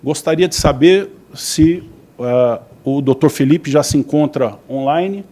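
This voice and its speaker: A man's voice: on the high side, a little slow, dark tone. A man moderating a panel, introducing a guest with courteous, formal words.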